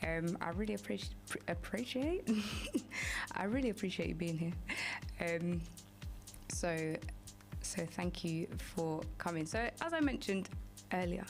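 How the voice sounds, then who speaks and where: Woman radio host, low-pitched and halting, soft music bed behind. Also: animated, creaky. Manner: hesitant, many ums and restarts. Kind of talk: casual radio talk.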